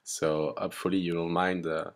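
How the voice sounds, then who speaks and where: A young man with a French accent talks through his own workout footage in a recorded voice-over. His voice sits very low, and he speaks haltingly, stopping often on fillers as he looks for words. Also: flat delivery. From France. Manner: hesitant, many fillers and pauses. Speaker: lifter narrating his training video. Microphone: voice-over recorded apart from the gym footage.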